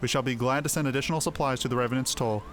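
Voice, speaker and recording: Fantasy game seeress, male reader: mid-pitched, stately, gracious, archaic wording, faint music beneath. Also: fairly even intonation, a bit bright, natural voice. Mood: solemn, gracious. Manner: formal, archaic, measured phrasing. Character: solemn, gracious female elder seer of a forest realm. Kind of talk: amateur voicing of fantasy game dialogue.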